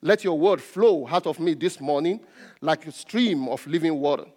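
A man with a Nigerian accent, a native Yoruba speaker, preaches a sermon as a pastor in a deliberate way, repeating key phrases. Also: a little high, expressive, a little mellow. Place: large reverberant indoor church space. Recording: reverberant hall.

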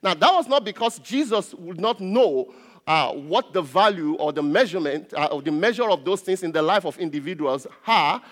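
A man with a Nigerian accent, a native Yoruba speaker, preaches a sermon as a pastor in a deliberate way, repeating key phrases. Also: high voice, lively intonation, fairly bright tone. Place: large reverberant indoor church space. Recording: reverberant hall.

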